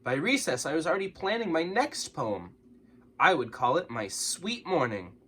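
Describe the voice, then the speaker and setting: A man reads a picture book aloud as a storyteller. His voice is bright and slightly husky, with big swings in pitch. He is expressive and leans hard on key words. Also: moderate pace. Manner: expressive, dramatic, with exaggerated emphasis. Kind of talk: animated children's story read-aloud.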